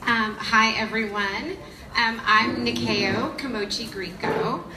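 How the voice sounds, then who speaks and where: An American woman telling her story to a live audience on a panel, measured and hesitant at times. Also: a little high, steady tone, background noise. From United States. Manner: measured storytelling with frequent ums. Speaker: company founder on a panel. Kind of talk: public panel talk. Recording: echoey room with audience.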